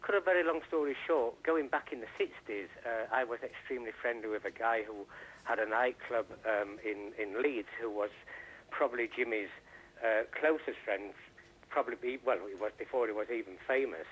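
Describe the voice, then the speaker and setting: An older man reminiscing in a remote news interview, speaking in a halting, rambling way over the line. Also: medium pitch, fairly bright tone, low-quality recording. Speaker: interviewee, friend giving tribute. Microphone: phone line or remote link.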